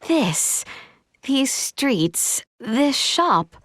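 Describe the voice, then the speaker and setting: A woman's voice: high-pitched, big pitch swings, very slow, a bit bright, dry recording, clean studio sound, full character voice. A woman voicing a spirited young princess in a video game, speaking earnestly and expressively in formal, old-fashioned courtly language. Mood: solemn, wistful. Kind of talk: video game character dialogue.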